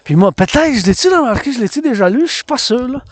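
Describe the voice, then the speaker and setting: A man's voice: extremely high pitch, lively intonation, quick pace. A man with a Quebec French accent vlogging on a mountain path outdoors, declaiming and repeating phrases in English and French. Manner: declamatory and theatrical, repeats phrases in both languages. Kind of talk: walking vlog, mixing English and French.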